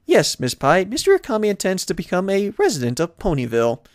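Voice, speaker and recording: A man voicing a polite, motherly pony mare mayor with a formal, measured manner in a cartoon game. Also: high voice, wildly swooping pitch, no room sound, studio quality, voice pushed up.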